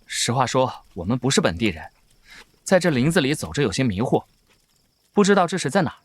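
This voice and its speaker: A man's voice: mid-range voice, sing-song, a little fast, slightly rough. An actor playing a young male cultivator in an audio drama, an earnest and wary traveller who talks in a probing, questioning way.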